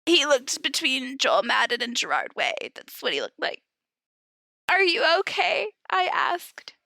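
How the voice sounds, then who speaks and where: High-pitched, animated, giggly woman. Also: unhurried, creaky, no echo, clean studio sound. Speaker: podcast reader and host. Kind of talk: comedic dramatic reading and casual chat.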